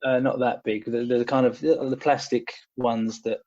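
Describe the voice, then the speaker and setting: A man's voice: mid-range voice, flat delivery, moderate pace, darkish tone. A young man talking casually as a podcast guest, hesitant and full of fillers and restarts. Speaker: podcast guest, personal trainer. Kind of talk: casual conversational storytelling. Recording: dull lo-fi audio.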